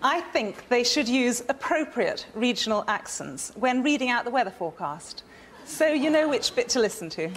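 A middle-aged woman from England, calmly and matter-of-factly pitching her idea on a studio stage. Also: normal speed. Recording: loud studio noise behind.

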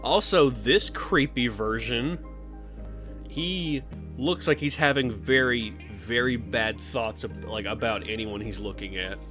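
A man streaming casually, chatting with viewers and jumping into high silly voices. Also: takes it slowly, music in the background. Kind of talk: casual live-stream chat.

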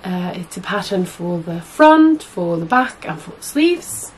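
A young woman with a husky voice, hoarse from a cold, chatting to camera as a sewing vlogger in a small room at home, rambling and correcting herself often. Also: on the low side, big pitch swings, unhurried, a little hiss or hum. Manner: chatty, rambling, many fillers and self-corrections. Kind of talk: casual chat to camera.

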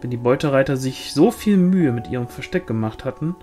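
A man's voice: medium pitch, animated, fairly quick, mellow. Male audiobook reader, medium register, scripted fantasy narration over a music bed.

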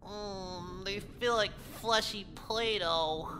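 A woman's voice: medium pitch, slow, fairly bright tone, faint music behind. Woman playing an awkward creepy nerdy guy, echoing. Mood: blissful, creepy. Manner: awkward, overeager, fawning. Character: creepy, eager, awkward nerdy young man. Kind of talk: voiced visual novel dialogue. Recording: long hall reverb, clear background noise.

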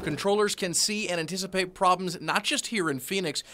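A man's voice: sits high, fairly quick, bright, forceful tone, slight background noise. An American man, around his thirties, narrating a scripted TV news package as a reporter.

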